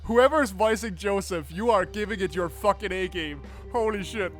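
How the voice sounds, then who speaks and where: A man's voice: sits high, moderate pace, faint music behind. A young man hosting a let's play, reading the game text aloud and reacting with swearing and laughter. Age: young adult. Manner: animated, swears and laughs often. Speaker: gaming channel host.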